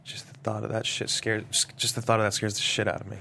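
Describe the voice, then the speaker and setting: Hesitant, low-voiced troubled businessman read by an actor. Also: monotone, creaky, bright, forceful tone, a little hiss or hum. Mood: shaken. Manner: halting, hesitant. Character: troubled, apologetic male businessman haunted by visions. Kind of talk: script table read.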